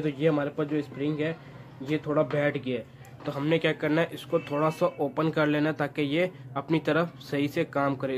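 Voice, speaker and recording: A man narrating an informal hands-on repair tutorial, guiding viewers step by step in an instructional way. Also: a little high. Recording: noticeable background noise.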